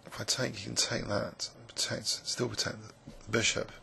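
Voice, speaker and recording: A man with a croaky, gravelly voice, musing hesitantly with many fillers as he commentates his own blitz chess game. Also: on the low side, flat delivery, moderate pace, pushed and bright, a little hiss or hum. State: croaky voice, clearing throat. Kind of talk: live game commentary, thinking aloud.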